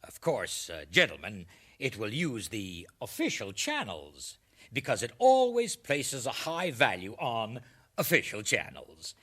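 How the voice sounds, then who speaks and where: A man's voice: mid-range voice, huge pitch swings, a little slow, fairly bright tone, heavily acted. A man playing an effusive, pompous foreign ambassador in a satirical radio drama, hesitating theatrically. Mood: gushing. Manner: theatrical, hesitating with fussy ehs and uhs.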